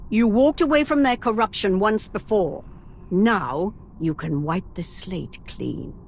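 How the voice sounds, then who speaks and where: A regal game empress with a medium-pitched voice. She is imperious, shrewd and scornful, over faint ambient noise. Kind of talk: cinematic video game acting.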